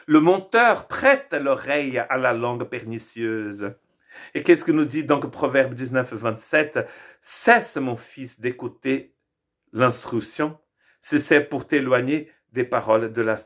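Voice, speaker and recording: A man whose first language is Portuguese gives a daily Bible devotional as a pastor, earnest and preacherly, slowing down to stress key words. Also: mid-range voice, a bit bright.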